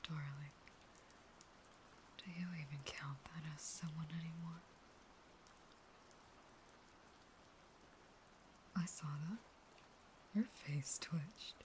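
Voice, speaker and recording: A woman playing a starving, unstable caged vampire who pleads with a lover in a breathy, intimate, dramatic whisper. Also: low voice, darkish tone, slight background noise. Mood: eerie, hurt. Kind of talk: intimate whispered roleplay audio monologue.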